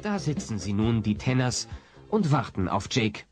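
A man's voice: mid-range voice, very animated, moderate pace, darkish tone, faint music behind, lightly acted. A man narrating a comic German children's audio play as a wry, playful storyteller.

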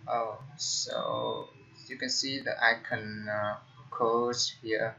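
A man's voice: lowish voice, flat delivery, vocal fry, sharp tone. Man, Vietnamese accent, young, hesitant with many fillers, lo-fi computer mic, faint background hiss. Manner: halting, frequent "uh" fillers and restarts. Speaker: tech tutorial host. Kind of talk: casual screen-recorded tutorial. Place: indoor room at a computer.

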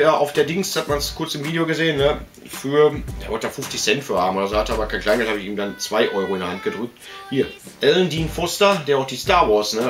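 A man's voice: medium pitch, fairly bright tone. A middle-aged man giving a casual, rambling show-and-tell as a video host, chatty and colloquial, with frequent tag questions. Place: indoor room full of collectibles.

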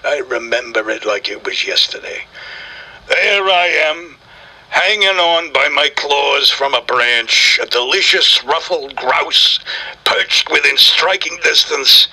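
Casting: male actor as grumpy streetwise stray tomcat for audio comedy, raspy and hard-edged, curt wisecracks over a phone call. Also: mid-range voice, sharp tone, full character voice. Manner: curt, slangy, impatient wisecracking. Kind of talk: comic audio drama interview.

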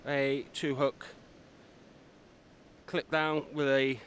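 A man talking casually to his camera while fishing on the shore at dusk, calmly listing his tackle with small hesitations. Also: medium pitch, drawn-out words, lo-fi sound. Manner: laid-back, matter-of-fact, lists tackle in detail with small hesitations. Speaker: angler filming his fishing trip. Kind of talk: casual running commentary to camera. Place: outdoors on a windy seashore at dusk. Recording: loud wind and surf over the voice.

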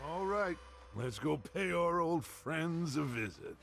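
An actor playing a commanding, theatrical American outlaw gang leader in a western video game, speaking with grand authority. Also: male voice, a little high, lively intonation, slow, creaky, a bit bright, exaggerated voice. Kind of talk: video game dramatic acting. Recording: game wind and horse sounds behind.

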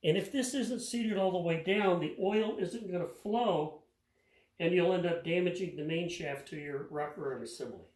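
Older male home mechanic, slightly high voice, plainspoken hands-on tutorial, roomy garage sound. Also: normal speed, a little mellow. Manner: plainspoken, practical, repeats words while working. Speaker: home mechanic explaining an engine rebuild. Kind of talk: hands-on how-to explanation. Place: home garage workshop, indoors. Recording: echoey garage.

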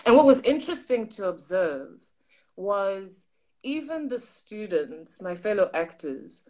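Animated South African woman on a video call. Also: mid-range voice, a little slow. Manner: animated, gesturing, voices quoted people. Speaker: co-host. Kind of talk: casual storytelling on a livestream. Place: home study with bookshelves. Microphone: video call on phone or laptop.

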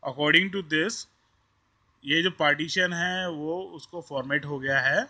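A man's voice: fairly high pitch, slow, sharp tone. A man with an Indian accent giving an informal hands-on tutorial, explaining step by step and repeating himself. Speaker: tech tutorial host. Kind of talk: informal hands-on tutorial, mixing Hindi and English.

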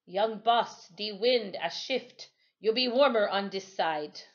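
A woman voicing an easygoing West Indian sailor man in his sixties in a storybook, with folksy, unhurried dialect. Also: sits high, muffled recording. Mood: calm, reassuring. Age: 60s. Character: old West Indian sailor, over sixty, easygoing, chuckling. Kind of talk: storybook character voice.

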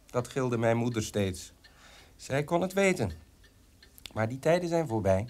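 Bitter, defiant son, animated radio monologue. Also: male voice, mid-range voice, unhurried. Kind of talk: Dutch radio drama monologue.

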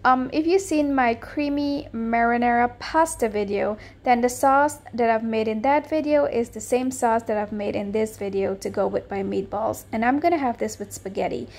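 A woman's voice: fairly high pitch, moderate pace, few pauses, a little mellow. A woman hosting her own cooking channel, giving a friendly, upbeat voice-over as she walks through a recipe step by step.

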